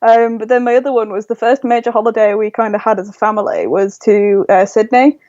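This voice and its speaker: A woman's voice: on the high side, a little fast, warm tone, no echo. A woman in her twenties with a Yorkshire accent chats as a podcast guest, gushing with enthusiasm and scattering ums and likes through her answers. Her voice comes through dry and a little dull, like a lo-fi remote recording. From Leeds, England. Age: 20s. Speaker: guest, blogger. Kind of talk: casual interview chat.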